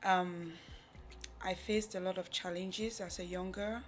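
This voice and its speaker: Halting Cameroonian woman, reflective interview guest. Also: on the low side, fairly even intonation. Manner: halting, pausing mid-thought. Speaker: podcast guest, charity representative. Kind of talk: reflective personal account.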